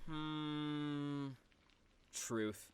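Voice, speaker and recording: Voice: mid-range voice, very slow, clear, resonant tone, dry recording. An actor playing a brash, cocky teenage rule-breaker in an audio drama, with slangy, sarcastic teasing. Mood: bored.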